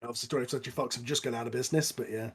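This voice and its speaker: A man's voice: medium pitch, fairly even intonation, racing, breathy edge. Man hosting a casual film commentary. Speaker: host and writer of an audio drama.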